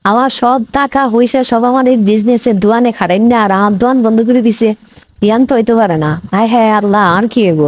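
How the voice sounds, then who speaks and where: Woman playing a high-voiced, fast-scolding Bangladeshi cartoon wife. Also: muffled recording, lightly acted. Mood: panicked, wailing. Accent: regional Bangladeshi Bengali dialect. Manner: wailing, scolding, repetitive complaining. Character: shrill, dramatic, blaming wife and shop-owning boss. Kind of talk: comic cartoon dialogue.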